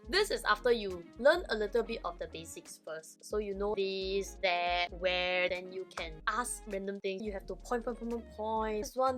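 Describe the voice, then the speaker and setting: A woman's voice: mid-range voice, a bit bright. A woman vlogging casually about learning a language, chatty and playfully teacherly, often checking in with the viewer. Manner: chatty, keeps checking in with "okay?". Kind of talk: casual vlog chat.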